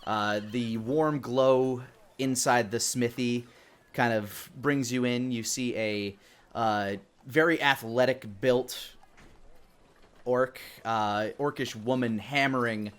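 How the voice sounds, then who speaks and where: A man running a live tabletop game as game master, narrating scenes descriptively with halting delivery. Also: medium pitch, fairly even intonation, fairly bright tone, smooth.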